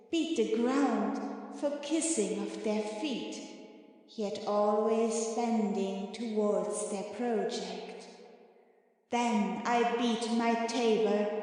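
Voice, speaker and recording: A woman playing an airy, obedient, mischievous spirit servant in a dramatic Shakespearean audiobook, telling things in a measured lilt. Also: a little high, warm tone. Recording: long cavernous reverb on voice.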